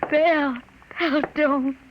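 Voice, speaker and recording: A tender, worried young woman in a vintage radio play. Her voice is fairly high and full of anxious care, on a dull, slightly noisy 1950s recording. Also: steady tone, extremely slow. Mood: anxious, grieving.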